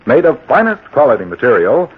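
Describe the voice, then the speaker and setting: A man's voice: medium pitch, very animated, a little fast, a little hiss or hum. Old timey radio ad guy, bouncy intonation, polished, tinny phone-like sound. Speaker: radio announcer. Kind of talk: 1940s radio sponsor pitch.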